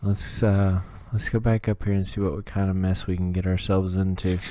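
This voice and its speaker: A man with a slightly hoarse throat giving easygoing, casual commentary on his own gameplay and talking himself through each task. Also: low-pitched, flat delivery, normal speed, darkish tone. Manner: easygoing, talks to himself while playing. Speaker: gaming channel host.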